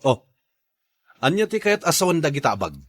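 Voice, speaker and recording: A man playing a stubborn, quarrelsome father and husband in a radio drama, with a raspy voice. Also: on the high side, very animated, a little fast. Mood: indignant. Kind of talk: radio drama acting in Ilocano.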